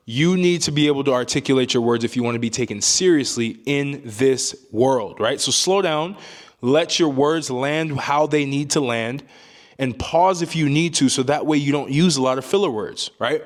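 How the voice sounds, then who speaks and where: A calm young man with a deep voice giving casual advice to camera, often ending points with a rhetorical "right?". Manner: calm, emphatic, frequent "right?" tags. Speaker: self-improvement YouTuber.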